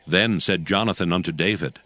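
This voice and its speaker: A man's voice: sits low, fairly quick, bright, forceful tone, no echo. A man narrating a dramatized Bible story as a scripted reading in archaic English. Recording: background music under the voice.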